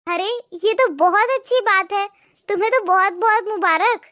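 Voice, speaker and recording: A woman doing a young housewife, extremely high squeaky voice, curt, cartoon story, dry sound. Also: normal speed, fairly bright tone, no room sound. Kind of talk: cartoon voice acting.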